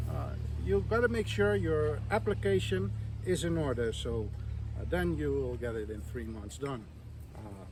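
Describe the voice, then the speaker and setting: A man's voice: fairly high pitch, background noise. A man from the Netherlands who builds villas, giving casual to-camera advice in a plain, hesitant way with many ums.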